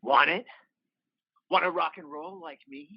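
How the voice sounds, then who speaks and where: A man's voice: on the high side, unhurried, vocal fry, breathy edge, dry recording, lo-fi sound, full character voice. A man playing a menacing, defiant young biker mutated with metal in an audio drama, commanding and taunting.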